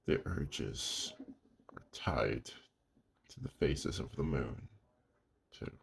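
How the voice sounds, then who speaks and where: A man playing a gruff, lonely old werewolf in an intimate ASMR roleplay, weary but gentle, speaking slowly and hushed with a husky, gravelly voice. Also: rumbling low, animated, a little hiss or hum, heavily acted. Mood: reflective, weary. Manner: slow, hushed, with long pauses.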